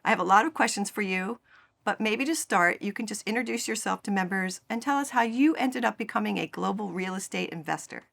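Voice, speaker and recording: A woman interviewer puts a brisk, friendly question, her voice carrying a gravelly fry. She sits a bit back from the microphone, so she sounds slightly distant. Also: medium pitch, fairly bright tone.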